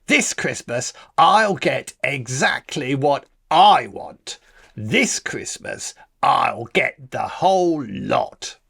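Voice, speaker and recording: A man's voice: medium pitch, animated, very slow, gravelly, fairly bright tone, breathy edge, full character voice. A man voicing a scheming, bratty boy villain from a picture book, with a gloating, theatrical delivery.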